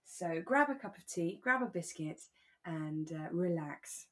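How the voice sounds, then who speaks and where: A woman with a British accent, chatting casually and in a relaxed way as a podcast host. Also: fairly low pitch, measured pace.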